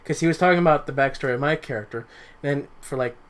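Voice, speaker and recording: A man with a voice a little higher than most chats casually as a podcast co-host. His delivery is halting, and he often repeats a word or two as he gets a sentence going. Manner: halting, repeats words as he starts. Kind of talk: casual chat.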